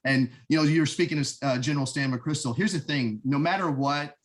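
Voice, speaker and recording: A man's voice: fairly high pitch, fairly quick. A middle-aged American man, a military veteran, talking about his upbringing in a casual interview, earnest and rambling with many restarts. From United States. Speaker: podcast guest, veteran. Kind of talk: casual conversation.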